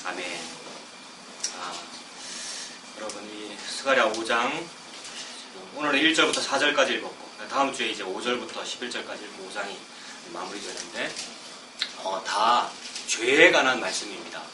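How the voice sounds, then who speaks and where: A middle-aged man with a cold preaching a sermon, chatting casually between slow scripture readings. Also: fairly low pitch, expressive. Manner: conversational, self-deprecating asides between slow scripture reading. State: has a cold, coughing. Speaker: pastor. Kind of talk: expository sermon. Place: church sanctuary. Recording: roomy, distant mic with background noise.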